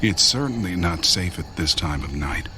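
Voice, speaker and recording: An actor in a radio drama playing a sinister, smooth-talking stalker with a courtly, menacing and deliberate manner. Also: male voice, deep voice, lively intonation, noisy background. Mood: courteous, probing.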